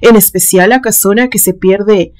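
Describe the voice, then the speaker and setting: A woman voicing a brooding, uneasy young man in a story, murmuring slowly and hesitantly. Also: medium pitch, a little mellow, close to an everyday voice. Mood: uneasy, reluctant.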